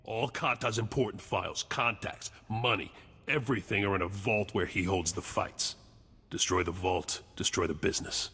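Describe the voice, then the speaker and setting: A world-weary intelligence agent from a space adventure game. His low voice has a hard, forceful edge and a clipped delivery. It rings with long reverb. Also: moderate pace, husky. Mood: urgent, conspiratorial. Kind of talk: video game dialogue.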